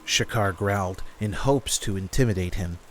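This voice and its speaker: Guy narrating a fantasy story, lowish voice, music clearly behind him. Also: normal speed.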